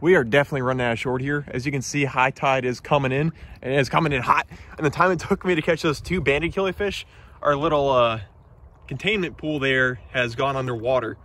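A young man vlogging outdoors in a tidal marsh at night, talking excitedly and casually about the fish he catches. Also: medium pitch, brisk. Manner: enthusiastic, chatty, slangy. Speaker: micro-fishing vlogger. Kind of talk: casual outdoor vlog narration.

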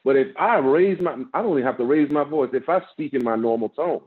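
A man in his fifties delivers a sermon over a video call, his strong, mid-pitched voice measured and building to emphatic peaks. The sound is thin and phone-like, as laptop call audio tends to be. He speaks from a small office. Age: 50s. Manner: measured preaching, rising with emphasis, repeats words. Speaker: guest pastor. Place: office with framed certificates, indoors. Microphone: video call, laptop camera.

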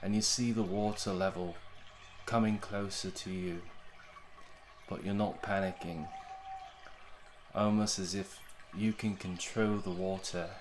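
Slow, low-voiced man guiding meditation. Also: steady tone. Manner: gentle, unhurried, with long pauses between short phrases. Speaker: meditation guide. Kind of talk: guided meditation, soothing narration.

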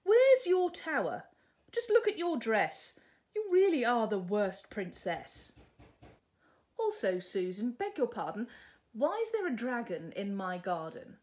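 A woman's voice: fairly high pitch, huge pitch swings, unhurried, a little mellow. A woman voicing a boastful, bossy young prince from a storybook, grandiose and condescending. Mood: huffy. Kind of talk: storybook character reading.

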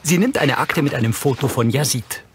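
A man's voice: medium pitch, brisk, slight background noise. A man reading scripted audio description narration in a neutral, matter-of-fact way. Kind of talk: scripted present-tense narration.

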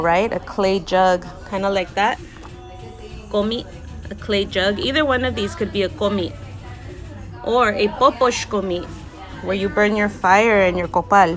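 A woman in her thirties teaching vocabulary in a casual lesson, repeating each word slowly and carefully, recorded on a phone held close outdoors. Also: medium pitch. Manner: slow, careful word-by-word repetition. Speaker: language learner sharing lessons. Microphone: phone held close, selfie framing.

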